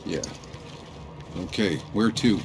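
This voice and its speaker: An actor playing a rugged, weary, stoic middle-aged warrior in a video game, gravelly and laconic, with dry understatement. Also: male voice, fairly low pitch, slow pace, noisy background. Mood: guarded, questioning. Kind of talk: naturalistic game cutscene acting. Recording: loud cinematic music underneath.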